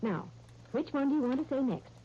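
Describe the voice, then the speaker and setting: Tender high-voiced mother played by an actress. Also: a little fast, lightly acted. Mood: tender, encouraging. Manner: tender, coaxing. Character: gentle, affectionate young mother. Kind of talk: 1930s radio acting. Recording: dull, hissy 1930s radio broadcast.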